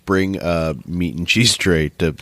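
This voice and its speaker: A man in his thirties co-hosting a podcast in casual banter, with a deep, congested voice and a dry, joking manner. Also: fairly even intonation, fairly bright tone, no echo. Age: 30s. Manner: dry joking, quick asides. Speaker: podcast co-host.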